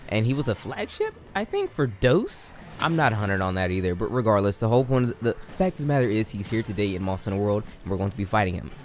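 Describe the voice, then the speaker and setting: A man with a slightly low, hoarse voice, worn from losing it. He talks loosely and slangily, stumbling and correcting himself mid-sentence. There is a faint noise floor under the recording. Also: very animated, fast talker, few pauses. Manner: rambling, self-correcting, slangy. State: losing his voice. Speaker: gaming YouTuber. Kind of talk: casual game commentary.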